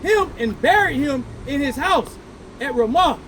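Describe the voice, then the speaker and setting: A man's voice: extremely high pitch, expressive, unhurried, fairly bright tone, rough recording. A man reading scripture aloud outdoors at a street sermon, declaiming each line and repeating it on cue. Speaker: scripture reader at street preaching. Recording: clear street and traffic noise.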